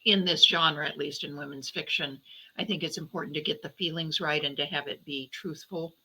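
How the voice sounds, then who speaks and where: A woman's voice: sits low, fairly even intonation, a little fast, bright, forceful tone, slightly rough, low-quality recording. A woman speaking conversationally as an interview guest, reflective and feeling her way through phrases with self-corrections. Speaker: guest novelist and podcaster.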